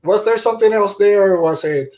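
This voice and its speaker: Man hosting a casual, halting panel chat. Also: high voice, noisy background. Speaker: podcast host. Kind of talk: casual panel review discussion.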